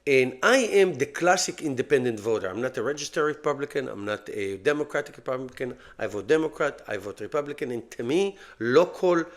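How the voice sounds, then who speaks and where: A man's voice: medium pitch, expressive, a little fast, hardly any pauses. Opinionated Israeli man, rambling commentary. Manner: opinionated, rambling, repeats words and restarts. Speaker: YouTube channel host. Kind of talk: casual political commentary monologue.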